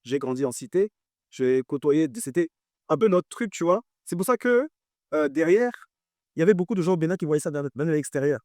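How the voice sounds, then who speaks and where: Young man with a French accent, dark and dry. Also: a little high, brisk, no room sound. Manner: animated, repeats phrases for emphasis. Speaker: guest artist and producer. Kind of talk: casual interview chat.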